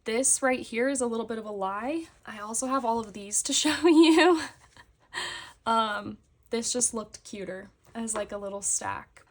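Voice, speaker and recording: A young woman, slightly hoarse after a concert, chatting casually as she shows her planners on camera. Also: fairly high pitch, a little slow. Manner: chatty, with fillers and frequent laughs. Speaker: planner vlogger. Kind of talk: casual show-and-tell chat. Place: indoors at a desk, hands on a table.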